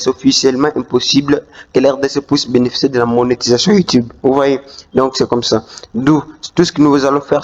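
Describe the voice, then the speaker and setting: A man's voice: medium pitch, slight background noise. A man hosting a screen-recorded tutorial walkthrough, talking hesitantly with many fillers and restarts. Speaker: YouTube tutorial host. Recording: dull lo-fi voice-over.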